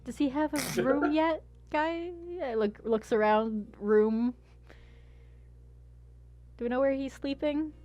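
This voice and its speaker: A woman's voice: high voice, takes it slowly, pauses often, dark tone, dry recording. A woman chatting casually as a player in a tabletop role-playing game, slipping between describing actions and speaking in character.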